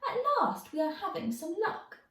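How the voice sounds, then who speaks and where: A woman voicing an excitable, adventurous young boy in a children's story, exclaiming eagerly. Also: very high-pitched, normal speed, pitched above the performer's own voice, exaggerated voice. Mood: excited. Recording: roomy, echoey, distant mic.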